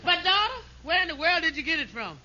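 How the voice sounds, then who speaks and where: A woman playing a loud, gushing older mother-in-law in 1950s radio comedy, folksy and exclamatory. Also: very high voice, sing-song. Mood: delighted. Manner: folksy, exclamatory, drawn-out delivery. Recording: very noisy, dull 1950s radio recording.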